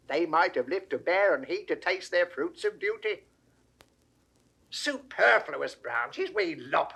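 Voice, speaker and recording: Give the part of a grave, humble head gardener in a classical Shakespeare audio play. His voice is fairly high for a man and forceful, with a sharp edge. He declaims the verse very slowly and deliberately, like plain working wisdom made solemn. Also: husky, heavily acted. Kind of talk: 1960s classical Shakespeare audio drama acting.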